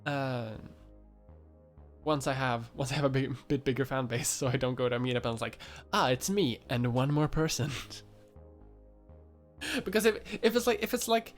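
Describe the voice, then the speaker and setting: A man in his twenties talks casually to his viewers, stumbling through restarts and fillers. His pitch sits in an everyday middle range. A little music plays faintly behind him. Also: normal speed, pauses often. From Europe. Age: 20s. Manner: rambling, many fillers and restarts, laughs often. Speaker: YouTuber. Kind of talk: casual livestream chat.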